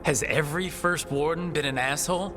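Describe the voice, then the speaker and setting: An actor playing a bold, protective young hero in a video game, blunt and direct. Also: male voice, fairly high pitch, fairly quick, close to an everyday voice. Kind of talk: video game dialogue acting. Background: music.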